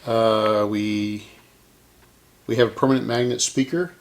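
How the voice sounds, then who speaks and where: A man with a gravelly voice casually narrating his hands-on repair work as host, musing and thinking aloud as he goes. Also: lowish voice, steady tone, measured pace. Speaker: host of a vintage radio repair video.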